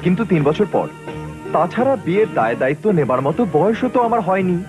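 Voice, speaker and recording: An earnest young man in a cartoon, polite and reasoning yet firm. His voice is on the high side and lively, with music behind it. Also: a little fast. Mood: reasoning, respectful. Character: principled young medical student, respectful but firm son. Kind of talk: cartoon voice acting.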